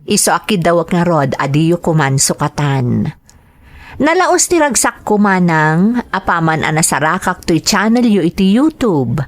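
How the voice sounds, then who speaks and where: A woman with an Ilocano accent hosting a radio show, reading scripted material aloud with drawn-out phrase endings. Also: on the low side, lively intonation. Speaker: radio drama host reading greetings and a listener's letter.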